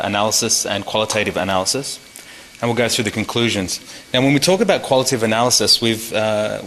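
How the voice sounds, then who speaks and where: A man's voice: fairly low pitch, sharp tone. A man giving an academic medical presentation at a conference, explaining things informally and addressing listeners directly. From Australia. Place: conference hall. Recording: reverberant hall with faint noise.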